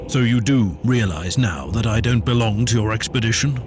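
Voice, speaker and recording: An actor playing a stern, commanding inquisitor in a video game, speaking curtly and imperiously. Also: male voice, lowish voice, moderate pace, background noise, close to an everyday voice. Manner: curt, imperious, probing. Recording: loud music behind the voice. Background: loud game music.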